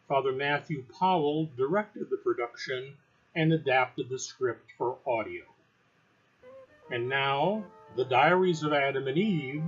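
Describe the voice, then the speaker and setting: A man's voice: fairly high pitch, muffled recording. A man working as an announcer, delivering a scripted introduction to an audio play.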